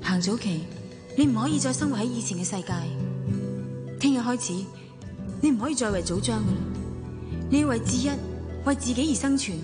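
An actress playing a troubled wealthy wife and devoted mother in a Hong Kong Cantonese radio drama, earnest and expressive. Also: on the high side, a little fast, pauses often, long reverb tail, over loud music, lightly acted. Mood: resolute. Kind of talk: Hong Kong radio drama acting and first-person narration.

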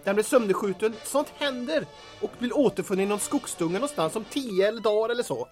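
A man playing a droll, wisecracking henchman in a radio drama, with a dry, deadpan delivery. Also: sits high, soft background music. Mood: dryly scheming. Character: wisecracking, dryly absurd henchman sidekick.

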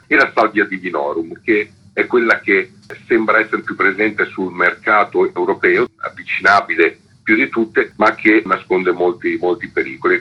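Man, author being interviewed, lowish raspy voice, explanatory answers, lo-fi phone sound. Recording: dull, lo-fi radio phone link.

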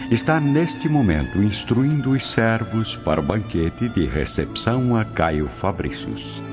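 A man's voice: lowish voice, animated, measured pace. A man with a Brazilian accent giving dramatic scripted narration as the narrator of a radio drama. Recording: dull lo-fi audio. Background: prominent music.

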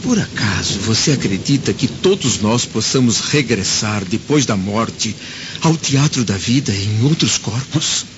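Troubled Roman senator, muffled recording. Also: male voice, lowish voice, lively intonation, a little slow. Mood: brooding, questioning. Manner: grave, dramatic, rhetorical delivery. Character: troubled youngish Roman senator, anguished father, brooding and searching. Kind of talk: radio drama acting. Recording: dull, noisy lo-fi radio drama recording.

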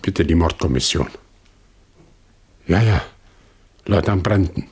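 An actor in a German radio play voicing a worn-down, fearful shopkeeper in his sixties, with a husky, breathy voice. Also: low voice, a little mellow, heavily acted. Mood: resolute. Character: worn-down, fearful immigrant shopkeeper aged sixty-two.

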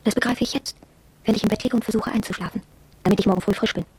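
A woman's voice: medium pitch, fairly even intonation, very fast, darkish tone, husky, no echo. An actress playing an impressionable, wondering young shop girl who confides her experiences in a reflective radio drama monologue.

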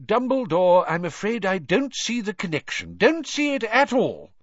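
A man's voice: sits high, very animated, moderate pace, no room sound, muffled recording, pitched above the performer's own voice. A man voicing a pompous, fussy, impatient older government minister in an audiobook. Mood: dismissive. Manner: blustering, emphatic, pompous. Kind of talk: audiobook character acting.

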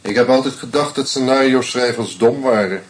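A man's voice: medium pitch, moderate pace, a little hiss or hum, lightly acted. An actor in a radio play voicing a bitter, cornered male murderer who speaks in cold, clipped lines. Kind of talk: radio drama acting.

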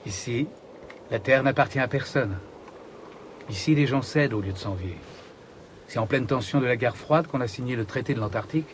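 An actor playing a nostalgic, idealistic Siberian-born polar researcher in a radio drama, telling things in an earnest, reflective way. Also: male voice, medium pitch, moderate pace, pauses often, a little hiss or hum, lightly acted. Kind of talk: naturalistic French radio drama acting.

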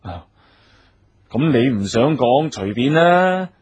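An actor playing an anxious, easily flustered wealthy husband in a Cantonese radio drama, agitated and given to sighing and stammering. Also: male voice, mid-range voice, very animated, slow, darkish tone, lo-fi sound, a little hiss or hum. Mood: tense, guarded.

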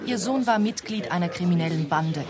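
A woman's voice: lowish voice, animated, fairly quick, lightly acted. An actress playing a cool, businesslike young woman on the run in a radio play. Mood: matter-of-fact. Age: young adult. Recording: busy bar crowd behind the voice.

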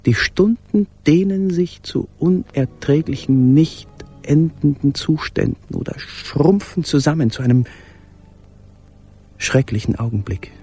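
A despairing, yearning man speaks a radio monologue in a dark, creaky voice. His phrasing is measured and literary, full of anguish, over faint music. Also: fairly high pitch. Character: despairing man in hiding, yearning for a lost companion.

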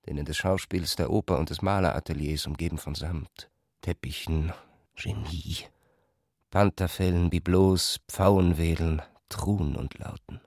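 A man's voice: sits low, darkish tone, no echo, studio quality. A man reading scripted literary prose as a narrator in a radio adaptation.

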